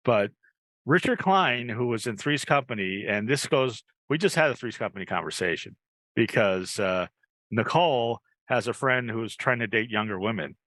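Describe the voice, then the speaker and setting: A man's voice: medium pitch, animated, normal speed, a bit bright, no room sound. A man hosting a podcast, chatting casually as he interviews a guest. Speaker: podcast host.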